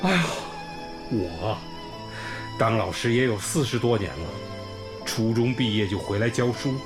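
A man's voice: medium pitch, lightly acted. An actor playing a kindly old village school principal in a radio drama, effusive and homely, sighing and exclaiming as he talks. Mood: grateful. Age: older, around sixties. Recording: music bed under the voice.

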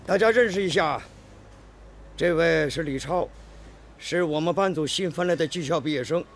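An actor playing a firm, hearty male factory team leader with a commanding, gravelly delivery in a radio drama. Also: a little high, moderate pace, a little hiss or hum, close to an everyday voice. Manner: commanding, no-nonsense.

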